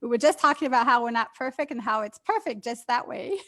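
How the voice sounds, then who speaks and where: A woman's voice: on the high side, fast talker, a bit bright. A woman co-hosting an interview, encouraging her guest and using some fillers. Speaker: interview co-host.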